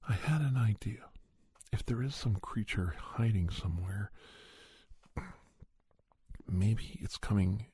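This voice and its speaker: A man telling a story in ASMR style as an earnest monster investigator, soft and breathy, with halting phrases and frequent pauses. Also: on the low side, slight background noise. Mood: hushed, musing. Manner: hushed, halting, pausing often mid-sentence. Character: Doctor Andrew Michaels, earnest monster investigator recounting a hunt. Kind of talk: ASMR storytelling, told as first-person account.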